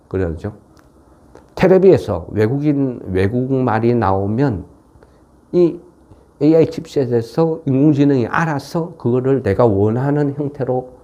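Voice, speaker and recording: A man's voice: medium pitch, dark tone. A man with a regional, non-Seoul South Korean dialect giving an informal explanatory market lecture in a folksy way, often tagging on rhetorical questions. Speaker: stock market commentator.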